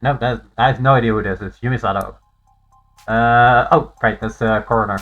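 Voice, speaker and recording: A man giving casual live playthrough commentary as a game streamer, excitable and easily amused. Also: medium pitch, normal speed, lo-fi sound, faint music behind.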